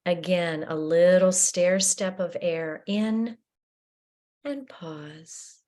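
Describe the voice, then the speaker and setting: A woman guiding a breathing meditation, her voice a little low and her delivery very unhurried. She speaks gently and soothingly, leaving long pauses between cues.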